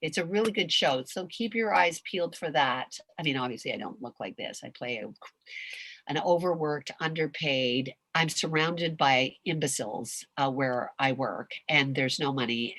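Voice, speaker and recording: Low-voiced Canadian woman, dry sound. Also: normal speed, husky, no echo. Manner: animated, rambling, with frequent restarts. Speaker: voice actress guest. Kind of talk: casual chat in a video call Q&A. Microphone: video call.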